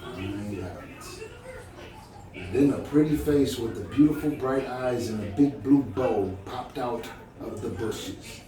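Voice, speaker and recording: A man reading a storybook aloud in an encouraging, conversational manner, pausing to check that the listener follows. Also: mid-range voice, crowd noise. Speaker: storybook reader. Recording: very echoey, distant mic, music behind.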